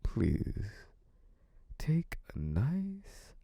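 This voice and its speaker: A man's voice: mid-range voice, huge pitch swings, drawn-out words, gravelly, dark tone, dry recording, studio quality, full character voice. A man playing a smug, menacing, possessive young mafia boss in an intimate audio roleplay, taunting in a theatrical, mock-sweet way. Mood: smug, cold. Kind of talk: scripted audio roleplay, intimate monologue to one listener.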